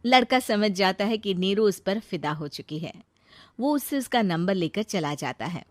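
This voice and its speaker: A woman's voice: mid-range voice, expressive, moderate pace, no room sound. A woman storyteller narrating a dramatised family story.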